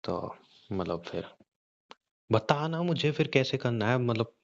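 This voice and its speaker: A man's voice: medium pitch, sing-song, takes it slowly, creaky, full character voice. A man playing a hot-tempered, suspicious young husband who badgers bluntly with repeated questions in a conversational audio drama. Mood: confused, badgering. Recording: dull, lo-fi call-recording sound.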